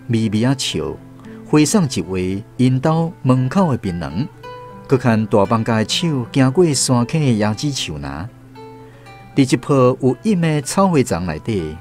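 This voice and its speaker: A medium-pitched man with a Taiwanese accent narrates a radio drama. His storytelling is expressive and very lively in intonation, with music underneath.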